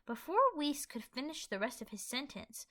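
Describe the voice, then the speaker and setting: A woman narrates a scripted fan fiction story. Her voice is high and bright, with animated rises and falls as she reads. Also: moderate pace.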